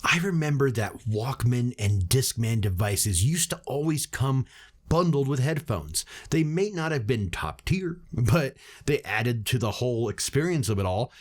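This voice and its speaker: A man's voice: mid-range voice, lively intonation, a little slow. A man hosting a product review, reading scripted narration to his viewers. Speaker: reviewer and channel host. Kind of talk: scripted video narration, audio gear review.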